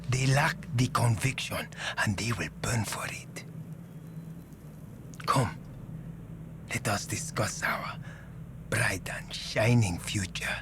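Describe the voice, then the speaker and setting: A man's voice: lowish voice, takes it slowly, long pauses, in a whisper. An actor playing a sinister, coaxing male death cult villain in a video game, ominous in manner. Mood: menacing, coaxing.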